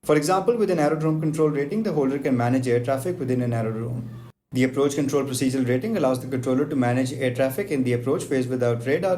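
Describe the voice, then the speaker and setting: A man delivers a scripted aviation lesson against the clock. He moves fast and reads technical terms in steady, list-like runs. A faint background hiss sits under his voice. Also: medium pitch. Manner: brisk, even, list-like reading of technical terms. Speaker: aviation explainer host. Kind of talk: scripted educational narration, just-a-minute format.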